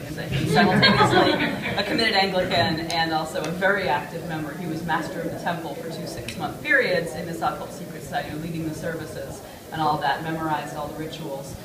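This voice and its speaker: In a roomy conference space with loud audience murmur, a woman lecturer fields questions. Her voice sits on the low side. She explains things articulately and with enthusiasm, speaking off the cuff like an academic. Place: hotel conference room. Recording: roomy, distant mic, audience noise.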